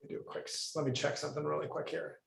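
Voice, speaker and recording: A man's voice: on the high side, little pitch movement, rapid-fire, creaky, a bit bright, roomy sound, slight background noise. A male instructor on a video call walking through a coding lesson, thinking aloud with restarts and fillers. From Puerto Rico. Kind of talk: live coding lesson review.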